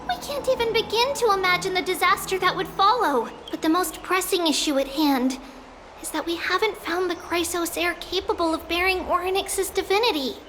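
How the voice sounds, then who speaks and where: A little fairy-like game companion with an extremely high, childlike voice. It sounds cute and earnest, with music playing clearly behind it. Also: female voice, moderate pace, background noise. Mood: earnest, worried. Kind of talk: scripted video game acting.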